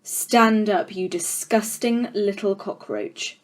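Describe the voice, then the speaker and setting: A woman's voice: mid-range voice, slow pace, close to an everyday voice. Storybook villain: menacing, scornful school tyrant played by a woman reader, domineering and emphatic. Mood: furious. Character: tyrannical, child-hating, menacing adult headmistress.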